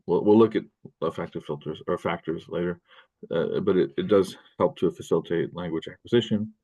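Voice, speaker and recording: A man's voice: low-pitched, a little fast, mellow, husky, lo-fi sound. A middle-aged American man giving a recorded college linguistics lecture, talking loosely with many fillers and restarts. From United States. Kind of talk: recorded lecture over screen share. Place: indoor room at a desk.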